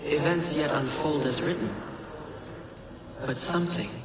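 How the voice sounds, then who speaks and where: An actor playing a cryptic, solemn oracle in a video game, speaking in a grave, oratorical way with deliberate phrasing. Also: male voice, fairly high pitch, lively intonation. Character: cryptic, solemn male oracle musing on time. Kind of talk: scripted dramatic monologue in video game. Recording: echoey, distant, loud ambient noise.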